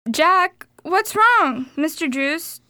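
A woman's voice: extremely high pitch, lively intonation, drawn-out words, bright, forceful tone, dry recording, clean studio sound, exaggerated voice. A woman playing a caring, anxious young wife and sister in an amateur radio drama. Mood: concerned.

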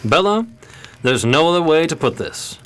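Suave, animated 1920s gentleman gambler. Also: a little high, moderate pace, clear, resonant tone. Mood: earnest, scheming. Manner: polished, courteous. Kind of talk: 1920s crime radio drama acting.

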